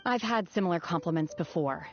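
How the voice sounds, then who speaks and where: A woman's voice: on the low side, fairly quick, lightly acted. A woman playing an aloof, solemn young woman in a video game, speaking in a reserved, detached way. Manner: reserved, detached, plain-spoken. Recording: dull game capture with faint music.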